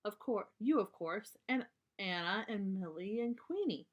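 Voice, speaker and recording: A woman's voice: mid-range voice, lively intonation, unhurried, muffled recording. Woman playing a chatty, bubbly girl bear cub. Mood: excited. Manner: chatty, enthusiastic. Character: bubbly young girl bear cub full of party plans. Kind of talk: children's storybook read-aloud.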